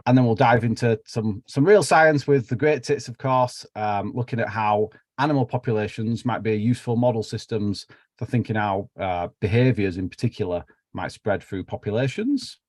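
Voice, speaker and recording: A man's voice: lowish voice, normal speed, a little mellow, dry recording, studio quality. A man with a broad Yorkshire accent giving an academic seminar talk over a video call, chatty and self-deprecating with many fillers. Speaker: seminar speaker.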